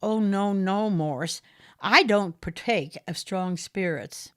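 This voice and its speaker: A woman's voice: mid-range voice, slow, fairly bright tone, smooth, no room sound, clean studio sound. A woman voicing a prim young schoolteacher who is nervous but firm, speaking formally to keep things polite. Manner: formal, trying to stay polite.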